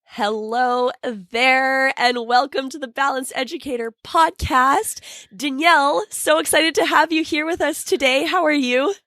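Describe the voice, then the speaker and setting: A woman hosting a podcast interview, hoarse from a cold, bubbly and enthusiastic, laughing often. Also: high-pitched, a little slow, pushed and bright, dry recording, clean studio sound. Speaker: podcast host.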